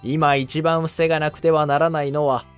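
An actor playing a humble, cautious monk who advises an emperor in formal, deferential court speech in a historical drama. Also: male voice, fairly high pitch, level tone, moderate pace, smooth, soft background music, lightly acted. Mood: calm, advising. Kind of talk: Japanese historical drama dialogue.

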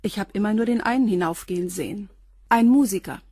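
A woman's voice: medium pitch, a little fast, mellow, breathy edge, no echo, lightly acted. A woman playing a matter-of-fact concierge. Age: 40s. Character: plain-spoken woman concierge around forty.

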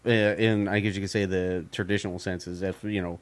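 A man's voice: low-pitched, level tone, fairly quick, no echo. A man co-hosting a casual podcast chat, rambling with lots of fillers and swearing. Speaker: podcast co-host.

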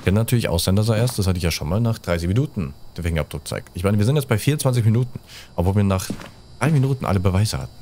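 A man's voice: lowish voice, animated, very fast, dark tone, slight background noise. A man in his thirties giving casual game commentary as a streamer, sprinkling in gamer slang and English phrases, close to a desk microphone. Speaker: let's play streamer. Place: indoors, seated close at a desk. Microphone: desk microphone at close range.

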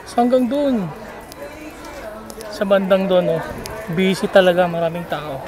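A man's voice: high-pitched, animated, a little slow. A Filipino man talking casually while walking a crowded outdoor street market, rambling with many fillers, filmed on a phone held at arm's length. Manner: chatty, rambling with frequent fillers. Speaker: vlogger. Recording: outdoor phone video, loud crowd noise.

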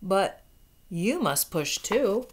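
A woman voicing proud, strong crocodiles in a children's storybook, emphatic and drawn-out. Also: medium pitch, sing-song, slightly rough, exaggerated voice. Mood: offering help. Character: proud, strong swamp crocodiles, helpful but boastful.

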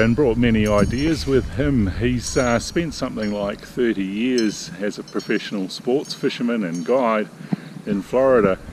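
A middle-aged man talks straight into a camera held at arm's length, in a relaxed, rambling vlog manner. His pitch is ordinary for a man. Faint city hum sits behind him in the open air. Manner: relaxed, chatty, halting with fillers. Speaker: sailing vlog host. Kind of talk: casual vlog talk to camera. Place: outdoors in a paved city square.

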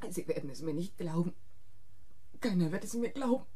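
An actress playing a distraught, panicked wife in a radio thriller, halting and repeating herself. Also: female voice, lowish voice, animated, mellow, husky. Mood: shaken, frantic. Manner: halting, repetitive, agitated. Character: distraught, panicked wife who killed her tormenting husband. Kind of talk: German radio thriller acting.

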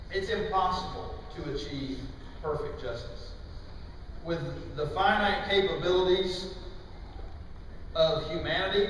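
A middle-aged man preaching a sermon in a church, with emphatic, deliberate phrasing broken by pauses. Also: fairly high pitch, fairly bright tone. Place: large church auditorium, indoors. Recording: distant, very echoey hall with faint noise.

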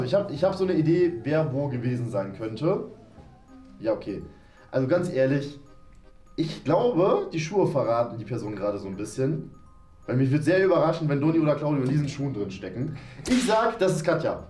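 A man's voice: mid-range voice, big pitch swings, fairly quick, warm tone. A man in his twenties hosting a casual game show with friends, chatting playfully and teasing them. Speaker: host of a YouTube challenge show. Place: small indoor studio room. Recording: echoey room with music behind. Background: music.

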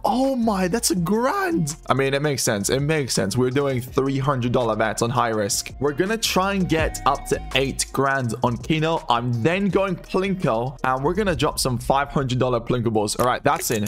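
A hoarse man commentating his online gambling in a casual, excitable way, calling his viewers guys and bro. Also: on the high side. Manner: excitable, shouts and laughs at big wins. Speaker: gambling streamer.

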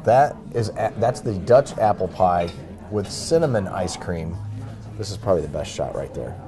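A man's voice: deep voice, lively intonation, moderate pace. A man in his forties on a lapel mic, hosting a TV food segment with playful teasing jokes. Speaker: TV host. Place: large busy restaurant dining room. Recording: restaurant crowd chatter and music behind.

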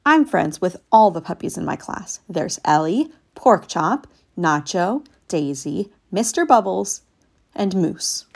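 A woman voicing an eager young male puppy in a storybook, narrating in an earnest, childlike, expressive way. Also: lowish voice, heavily acted. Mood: playful. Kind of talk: storybook read-aloud.

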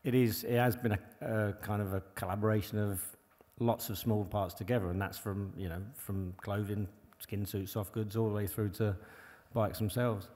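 Man, fairly low voice, rambling guest talk, lots of fillers, echoing hall. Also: steady tone, normal speed, few pauses, warm tone. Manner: rambling, many "you know" and restarts. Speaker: bike engineer and former pro racer. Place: large hall on stage before an audience. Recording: long hall reverb.

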